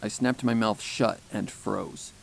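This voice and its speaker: A man's voice: on the low side, slow, vocal fry, a loud crowd, rough recording. A man reading scripted first-person fan fiction as a story narrator.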